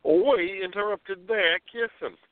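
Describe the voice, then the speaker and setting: A man's voice: sits high, unhurried, no echo. A man playing a cheeky, mischievous neighbour with a teasing manner in a radio play. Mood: playful. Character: Earl, cheeky, grinning male neighbour. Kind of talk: amateur radio play acting. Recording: thin phone-like audio, dry.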